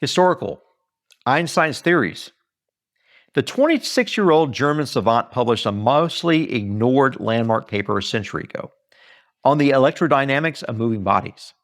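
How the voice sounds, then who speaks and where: Male author-narrator, mid-range voice, very varied intonation, earnest preacher-like emphasis for a self-read audiobook. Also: medium pitch. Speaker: author reading own book aloud. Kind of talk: expressive audiobook-style reading.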